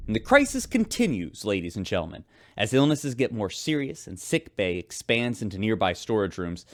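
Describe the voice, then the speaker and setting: Space radio news host, showy announcer style, really lively delivery. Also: male voice, fairly low pitch, normal speed. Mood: dramatic reporting. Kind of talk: radio news presenting in a sci-fi drama.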